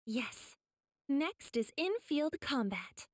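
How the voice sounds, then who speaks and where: A high, very lively young woman's voice for an anime game mentor. She is gentle and polite, with a teasing sweetness. The sound is close and dry. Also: takes it slowly, no room sound, full character voice. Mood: calm, kind. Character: gentle, encouraging young female demon slayer mentor.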